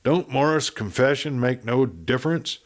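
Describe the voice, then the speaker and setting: A man's voice: mid-range voice, expressive, normal speed, pitched above the performer's own voice, lightly acted. Guy putting on an old cowboy dad, worried and tender, folksy western storybook voice. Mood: anxious. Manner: folksy frontier speech. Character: worried old rancher father, fretful and tender.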